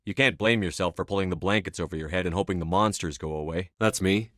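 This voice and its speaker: Low-voiced, tough space commander. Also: male voice, a bit bright, no room sound, clean studio sound. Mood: firm, reassuring, then hard, threatening. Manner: terse, commanding, measured. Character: tough, resolute male military space commander. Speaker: video game protagonist. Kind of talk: scripted video game dialogue.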